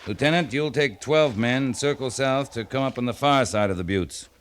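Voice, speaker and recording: An actor playing a seasoned, decisive American cavalry captain who gives clipped, authoritative orders in a 1950s radio western. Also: male voice, medium pitch, moderate pace, lightly acted. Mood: firm, methodical. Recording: noisy 1950s radio broadcast recording.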